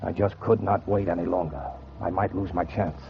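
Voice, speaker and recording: A man's voice: sits low, fairly even intonation, normal speed, vocal fry. An actor playing a bitter, cold-blooded man bent on revenge, narrating in brooding 1940s radio noir style with sardonic deliberation. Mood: grim, determined. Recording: dull, noisy 1940s radio recording.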